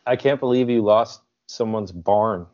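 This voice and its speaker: A man's voice: lowish voice, unhurried, a little mellow, no echo, highs cut off. A man co-hosting a comedy podcast, mock-serious, stammering and restarting as he talks. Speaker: podcast co-host. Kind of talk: comedic casual chat.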